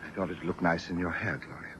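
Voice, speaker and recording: An actor playing a courtly, unsettling suitor who speaks formally and recites verse in an old-time radio drama. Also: male voice, low-pitched, steady tone, fast, a bit bright. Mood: courtly, solicitous. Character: courtly, eerie male poetry-quoting suitor, soft-spoken and unsettling. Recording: muffled, hissy vintage radio broadcast.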